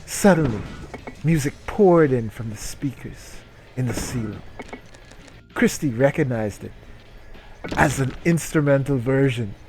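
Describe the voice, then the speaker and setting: A man hosting a comedic dramatic reading, excitable and given to jokey asides. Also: mid-range voice, unhurried, long pauses, music in the background, rough recording. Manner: excitable, breaks into jokey commentary. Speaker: reader and gamer host.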